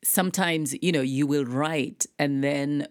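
A woman hosting a conversational interview in an encouraging, measured way. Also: sits low, no room sound, studio quality. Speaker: podcast host and interviewer.

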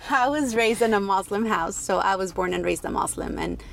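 A woman's voice: medium pitch, fairly quick, gravelly. A young adult woman from Egypt, chatting candidly as a podcast guest, laughing often and restarting her sentences. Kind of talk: casual interview chat.

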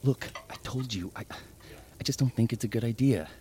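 A man's voice: medium pitch, moderate pace, warm tone, a little hiss or hum, natural voice. An actor playing an overworked, uneasy male office colleague in an audio drama, casual and stammering. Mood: uneasy, firm. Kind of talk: naturalistic audio drama acting.

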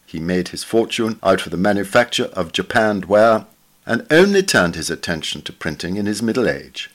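A man reading a literary article aloud as scripted narration, in a measured, formal manner. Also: fairly low pitch, sing-song, fairly bright tone. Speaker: reader of a journal article.

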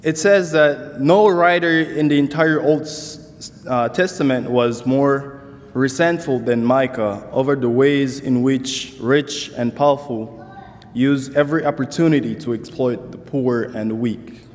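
A man from Congo giving an earnest church talk on scripture as a summer intern, speaking deliberately with some restarts. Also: mid-range voice. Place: church sanctuary. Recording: long hall reverb.

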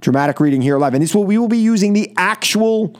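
A man hosting a live political call-in radio show, animated and joking, repeating phrases for emphasis. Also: sits high, fast talker. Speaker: radio talk show host. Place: radio studio.

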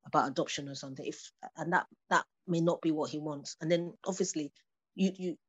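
A woman's voice: sits low, fairly quick, husky, lo-fi sound. A woman in her fifties chatting thoughtfully in a casual conversation. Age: 50s. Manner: thoughtful, laughs between points. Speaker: discussion participant.

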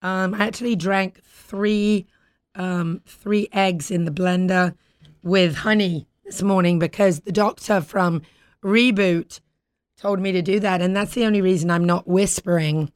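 A British woman hosting a talk show in casual, playful chat, with a husky voice recovering from being lost. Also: fairly low pitch, steady tone, a little slow, no echo, studio quality. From Britain. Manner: playful, bantering.

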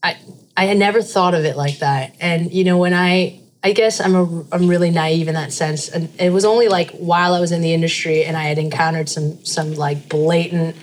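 Rambling woman in her twenties, low voice. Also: fairly even intonation, normal speed, slight background noise. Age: 20s. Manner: rambling, many restarts. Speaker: guest musician. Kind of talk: casual conversation.

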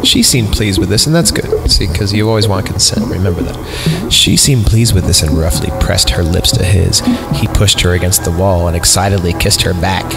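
A man hosting a podcast, reading a horror story aloud in a sardonic way, with mocking asides. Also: deep voice. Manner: sardonic, reads punctuation aloud. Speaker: podcast host reading creepypasta. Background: background music.

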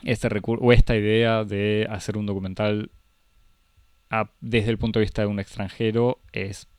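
Low, even-toned man analyzing a film. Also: clear, resonant tone, dry recording, studio quality. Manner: measured, analytical, light self-correction. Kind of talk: casual film discussion.

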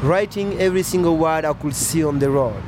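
Earnest young man, traffic behind. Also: a little high. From Congo. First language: French. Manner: slow, earnest, searching for words. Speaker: interview guest. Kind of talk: personal testimony.

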